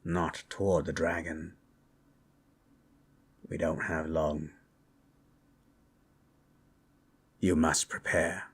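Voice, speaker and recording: A man voicing a solemn, measured sage who speaks formally and gravely in a fantasy audio roleplay. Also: a bass voice, level tone, full character voice. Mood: grave warning.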